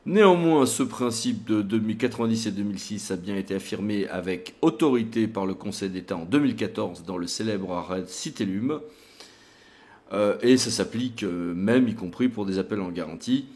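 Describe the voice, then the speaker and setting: A man's voice: lowish voice, moderate pace, a little mellow. A man presenting a legal tutorial in a didactic, emphatic way, like a lawyer explaining case law to an audience.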